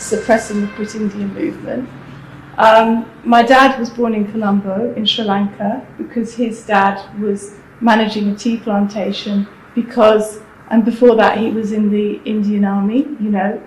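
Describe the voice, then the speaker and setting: A woman speaking as a panelist, reflective and measured as she explains. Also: fairly high pitch. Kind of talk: panel discussion remarks. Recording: echoey hall, faint noise.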